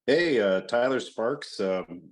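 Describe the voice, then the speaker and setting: A man's voice: medium pitch, lively intonation, slow. A man from Indiana, a former racer, talking as a guest on a video call in an easygoing, joking way with plenty of fillers. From Bloomington, Indiana, US. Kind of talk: casual interview.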